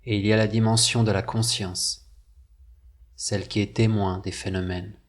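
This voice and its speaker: A man narrating a scripted guided meditation, with measured, deliberate phrasing and pauses. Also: on the low side, level tone.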